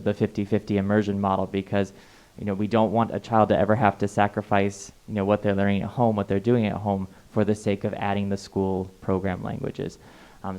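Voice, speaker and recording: A man with a low, fairly flat voice speaks on a panel about schooling. He talks earnestly and often doubles back on words mid-thought. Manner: earnest, repeats words as he thinks. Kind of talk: panel discussion.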